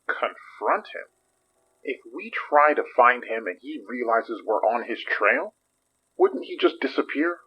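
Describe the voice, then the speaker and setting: An actor playing a wary, skeptical investigator in an audio drama, with a husky voice and a guarded, questioning manner. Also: male voice, mid-range voice, animated, measured pace, lo-fi sound. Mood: wary, doubtful.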